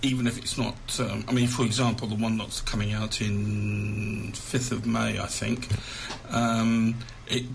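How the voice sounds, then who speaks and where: A man's voice: on the low side, little pitch movement, unhurried, vocal fry, a little hiss or hum, rough recording. A man being interviewed as an author, chatting conversationally with self-deprecating humour.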